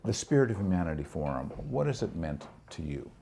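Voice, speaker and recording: A man interviewing a guest, asking gentle, unhurried questions. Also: low voice, sing-song, slight background noise.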